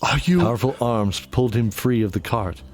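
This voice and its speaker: A man narrating a scripted audio drama as storyteller, in a deliberate, dramatic manner. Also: fairly low pitch, fairly even intonation, darkish tone, slight background noise. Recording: music behind the voice.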